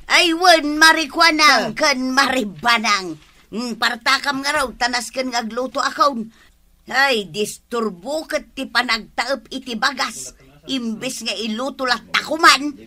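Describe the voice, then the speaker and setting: An actress with an Ilocano Filipino accent playing a brash, complaining neighbour wife in a radio comedy drama. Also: female voice, high-pitched, a little slow, sharp tone. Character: brash, pushy neighbour wife who borrows.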